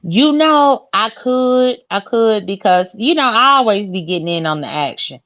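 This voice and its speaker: A woman's voice: medium pitch, animated, normal speed. Gossipy woman guest on lo-fi stream audio. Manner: playful, gossipy, cursing. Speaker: guest on a livestream. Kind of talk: casual live-stream chat.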